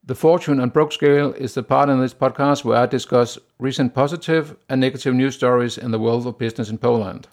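Danish-accented man with a gravelly voice. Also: mid-range voice. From Denmark. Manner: steady, plain delivery with frequent "uh" pauses. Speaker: podcast host. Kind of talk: solo business podcast monologue.

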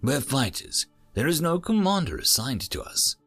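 A man's voice: fairly high pitch, big pitch swings, moderate pace, gravelly, fairly bright tone, faint music behind, pitched above the performer's own voice, heavily acted. A man voicing a big, earnest male alien fighter who talks formally and plainly. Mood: earnest unsure. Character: large non-human alien male fighter, earnest, flustered.

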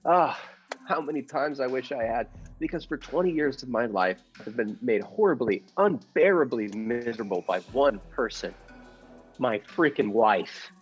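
An actor playing a timid, henpecked little husband in an old-time radio comedy, stammering and fussily polite. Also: male voice, mid-range voice, expressive, normal speed, natural voice. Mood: earnest, agitated. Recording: dull lo-fi audio with music behind.

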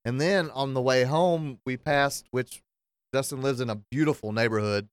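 Profane joking storyteller. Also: male voice, medium pitch, moderate pace, clear, resonant tone, no room sound. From Kentucky. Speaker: podcast co-host. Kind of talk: casual chat.

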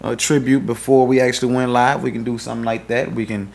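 A man's voice: medium pitch, flat delivery, fast talker, vocal fry, a little mellow. A man, co-hosting a podcast in loose, joking casual chat and plugging the show's projects. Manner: loose, joking, promo-style plugs. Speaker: podcast co-host.

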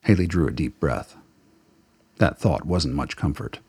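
A male narrator with an extremely deep voice reads dramatic scripted narration. The tone is husky with a creaky grain, recorded very dry. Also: animated, unhurried, a little mellow, no echo.

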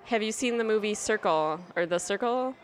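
A woman's voice: mid-range voice, lively intonation, normal speed, soft background music. A woman co-hosting a podcast in a coffee shop, chatting playfully and tossing in quips and laughter. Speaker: podcast co-host. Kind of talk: casual, bantering chat.

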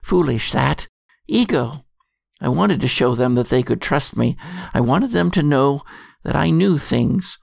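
A man playing a weary, secretive and resigned keeper of lore, delivering a measured, portentous dramatic monologue. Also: mid-range voice, lively intonation, gravelly, a little mellow, no room sound, clean studio sound, voice pushed up, full character voice. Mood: rueful. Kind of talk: scripted dramatic narration.

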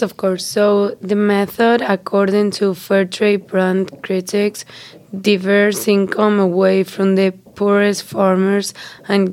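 A woman's voice: mid-range voice, a little mellow. A young woman from Spain hosting a student podcast, reading prepared lines evenly. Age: young adult. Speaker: host. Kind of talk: student podcast, read from notes.

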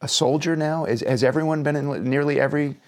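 A man's voice: a little high, steady tone, fast talker. American man hosting an interview. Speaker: interview host. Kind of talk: interview questioning.

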